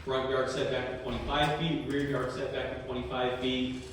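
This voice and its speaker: A man's voice: mid-range voice, level tone, moderate pace, noisy background. A man with a Texan accent, a city planning director presenting to a public meeting in a conversational way with frequent ums. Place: large echoey council chamber. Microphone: room microphone heard from a distance. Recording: echoey hall from far off.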